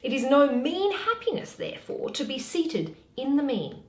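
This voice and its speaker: A woman playing a sensible, loyal waiting-maid who gently advises her mistress in a measured Shakespeare reading. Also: high-pitched, very animated. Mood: reasoning. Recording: echoey room.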